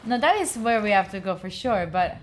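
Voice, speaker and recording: A young Italian-accented woman streams a game and chats away to her viewers. Her voice sits at an ordinary pitch, and she breaks into sudden excited squeals. A faint hum runs underneath. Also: fairly quick. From Italy. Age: young adult. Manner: chatty, talks to chat, cheers on her character with sudden squeals. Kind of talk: live gameplay stream chat.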